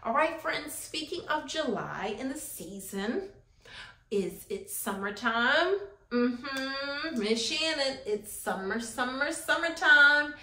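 High-voiced American woman teaching preschoolers. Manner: animated, encouraging, simple questions with deliberate pauses. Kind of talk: children's circle time lesson, addressing young viewers directly. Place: small classroom-style room, indoors. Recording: roomy indoor echo.

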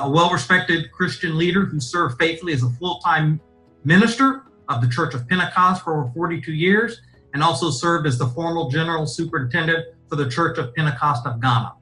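A man with a bright, forceful voice and a fairly high pitch introduces the speakers at a webinar. He reads their introductions with small hesitations. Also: poor audio. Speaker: webinar moderator. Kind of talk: event introduction.

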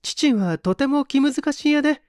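A man voicing a polite, refined young lady of eighteen, softly feminine, in an audiobook. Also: very high-pitched, expressive, moderate pace, no room sound, studio quality, voice pushed up. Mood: polite, apologetic. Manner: very polite, soft feminine speech.

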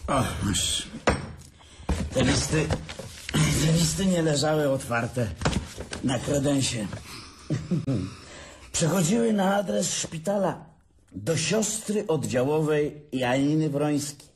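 A man's voice: on the high side, expressive, slow pace, pauses often, a little mellow, a little hiss or hum. An actor playing a bitter, drunk older brother who mocks and laughs at his sibling in a radio drama. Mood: taunting. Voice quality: sneering, laughs often.

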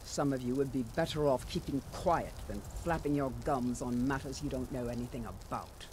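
A performer playing a stern, dismissive, battle-hardened warrior in a fantasy video game. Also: male voice, mid-range voice, fairly even intonation, normal speed, darkish tone, a little hiss or hum, close to an everyday voice. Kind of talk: scripted fantasy game dialogue.

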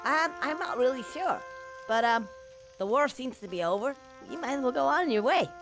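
An actor voicing a sarcastic, excitable male squirrel soldier in a video game. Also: very high-pitched, moderate pace, music in the background. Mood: wry.

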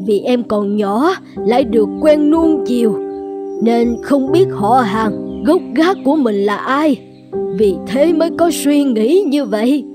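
A woman's voice: on the high side, expressive, slow pace. A woman playing an old grandmother cat in a cartoon, stern but caring, admonishing like an elder. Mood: gently admonishing. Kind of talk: animated fairy-tale dubbing. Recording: music playing behind the voice.

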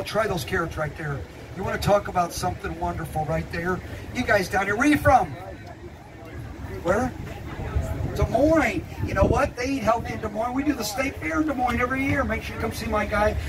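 A man's voice: sits high, far from the mic, background noise. A middle-aged man from Missouri giving a live cookware sales demonstration in an open tent at an outdoor fair, joking with the crowd in a folksy way.

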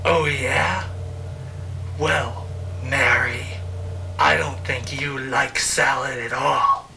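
A man playing a menacing stalker in a horror spoof, taunting slowly and deliberately with accusations. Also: mid-range voice, steady tone, gravelly, sharp tone, heavily acted. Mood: creepy, probing. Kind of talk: horror spoof film acting. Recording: distant, faintly noisy amateur film audio.

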